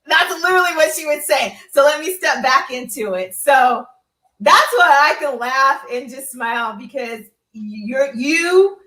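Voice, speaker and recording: A woman's voice: high-pitched, lively intonation, a little slow, sharp tone. A woman giving a casual, heartfelt personal testimonial as a guest, talking effusively and conversationally. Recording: roomy, echoey sound.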